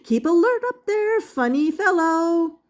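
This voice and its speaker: Bossy storybook music professor performed by a woman: high voice, drawn-out rhyming commands, theatrical singsong delivery. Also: lively intonation.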